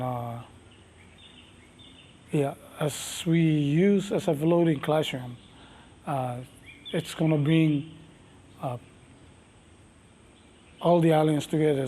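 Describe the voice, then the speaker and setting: A middle-aged man from Micronesia, whose first language is Micronesian, answering interview questions slowly and thoughtfully, with many pauses and fillers. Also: a little high, mellow. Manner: slow, thoughtful, halting speech with many fillers. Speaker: master navigator and canoe captain.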